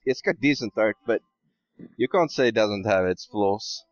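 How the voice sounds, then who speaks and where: A man's voice: on the low side, animated, moderate pace, dry recording. A man giving blunt, casual opinions as a podcast guest, over a dull voice-call sound.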